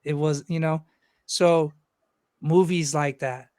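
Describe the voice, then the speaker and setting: Guest rapper, young man, loose rambling talker, a bit high-pitched, dry recording. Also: fairly even intonation, slow pace, darkish tone, no echo. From Los Angeles. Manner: rambling, loose, swears freely. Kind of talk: casual interview chat.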